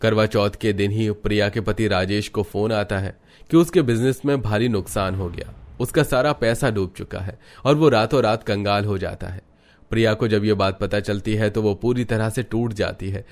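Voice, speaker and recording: A man's voice: fairly low pitch, moderate pace. A man reading scripted narration for a moral cartoon story.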